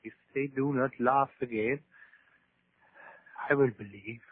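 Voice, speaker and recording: An actor playing a grim, fearful, stubborn soldier in 1940s radio horror, speaking deliberately and repeating himself. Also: male voice, mid-range voice, steady tone, breathy edge. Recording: muffled narrow-band 1940s radio recording.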